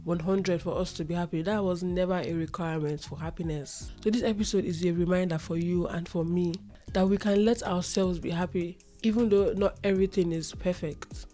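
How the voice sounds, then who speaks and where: A woman's voice: lowish voice, steady tone, brisk, mellow, soft background music. A woman hosting a solo motivational podcast. She sounds slightly nasal because she is getting over a runny nose and an itchy throat, and she talks in an earnest, reflective way, repeating phrases for emphasis. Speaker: podcast host.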